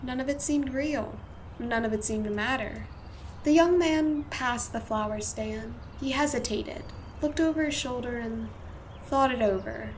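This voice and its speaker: A woman's voice: fairly high pitch, unhurried, a little hiss or hum, rough recording. A woman reading scripted storytelling narration for a radio play.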